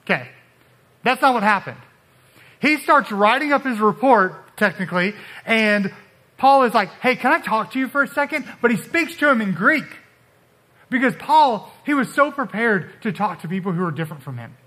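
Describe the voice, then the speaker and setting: Pastor guy preaching, high bright voice, super casual with lots of likes and ums. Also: normal speed. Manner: casual, animated, with frequent fillers and acted-out quotes. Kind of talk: sermon.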